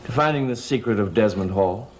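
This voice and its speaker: A man's voice: on the low side, a little fast, natural voice. An actor playing a courteous, inquisitive man in an old gothic television soap, speaking with composed curiosity. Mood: intent. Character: probing, courteous cousin investigating a mansion's secret. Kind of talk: 1960s-70s gothic soap opera acting. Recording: old TV soundtrack with loud background music.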